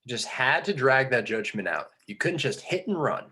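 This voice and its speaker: A man playing a disgruntled, sardonic traveller in an amateur script reading, with a husky voice. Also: medium pitch, sing-song, moderate pace, pushed and bright, full character voice. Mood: irritated, dry.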